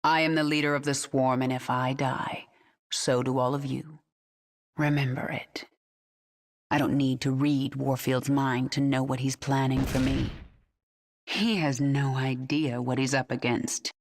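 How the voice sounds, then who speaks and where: A woman's voice: very deep voice, little pitch movement, unhurried. An actress playing the commanding queen of an alien swarm, giving cold, authoritative orders in a game cutscene.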